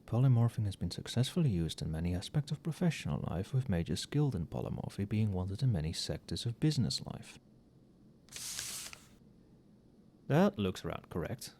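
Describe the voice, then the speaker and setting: Male, acting as a friendly, conscientious professor a few drinks in; lowish soft voice, muttering, very varied intonation, for late-night ASMR roleplay. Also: sing-song, fast talker, a little hiss or hum. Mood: muttering, absorbed. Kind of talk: casual ASMR roleplay, addressing an unheard colleague.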